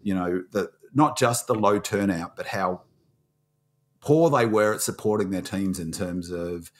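An Australian man with a croaky voice from screaming, ranting in casual podcast chat as a panelist. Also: deep voice, measured pace. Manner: fired-up, ranting.

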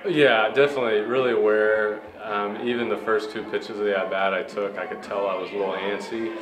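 A man's voice: on the low side, steady tone, brisk. A young man, a baseball player, answering post-game interview questions in an upbeat, humble way with many fillers. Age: young adult. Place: ballpark media room in front of a sponsor backdrop. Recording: echoey room with music behind. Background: music playing in the clubhouse.

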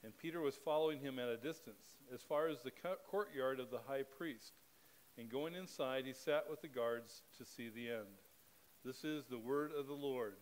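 A middle-aged man leading a spoken liturgy as a pastor, reading in a measured, steady cadence at a church pulpit microphone. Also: mid-range voice, rough recording.

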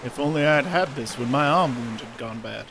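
A man acts out a dutiful royal aide in a fantasy audio drama. He is polite and formal, with clear sounds of water and ship ambience behind him. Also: mid-range voice, fairly quick, background noise, lightly acted. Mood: courteous. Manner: formal, courteous.

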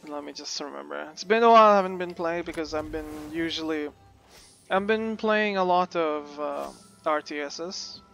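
A man's voice: on the high side, moderate pace, vocal fry, fairly bright tone, faint music behind. A man hosting a casual game let's play, rambling and hesitant, his voice a bit crackly. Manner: rambling, hesitant with many fillers. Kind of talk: casual gameplay commentary.

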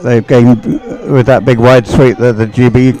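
A man's voice: mid-range voice, flat delivery, moderate pace, mellow. A man giving live sports race commentary in a conversational, hesitant way, often musing aloud.

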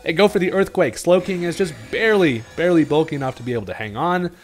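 A man's voice: fairly high pitch, quick pace, faint music behind. A man giving casual, joking commentary over his own game battle, his voice still a little off from a recent illness. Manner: laid-back, jokey, swears casually. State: recovering from illness, voice slightly off. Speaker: gaming commentator.

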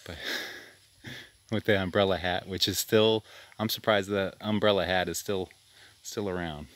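A man giving a relaxed, rambling tour of his farm by a creek, filmed on a handheld camera outdoors. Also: sits low, unhurried, no room sound. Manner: rambling, relaxed, self-deprecating with restarts. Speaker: farm vlogger. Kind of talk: casual walk-and-talk farm tour.

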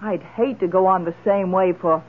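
An actress playing a weary, tart older widow in an old-time radio drama, dry and resolute. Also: female voice, on the low side, steady tone, moderate pace, close to an everyday voice. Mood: confiding, resolute. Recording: muffled old radio broadcast.

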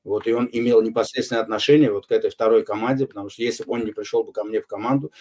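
Older man, low mellow voice, deliberate interview answers full of pauses. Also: fairly even intonation. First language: Azerbaijani. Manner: measured, unhurried, frequent hesitations. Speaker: interview guest. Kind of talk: recorded online interview answers.

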